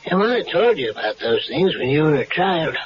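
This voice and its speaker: An actor playing a fatherly older doctor who scolds gently in a folksy way, in a 1970s radio drama. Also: on the high side, sing-song, brisk. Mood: gently scolding. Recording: dull old radio broadcast with hiss.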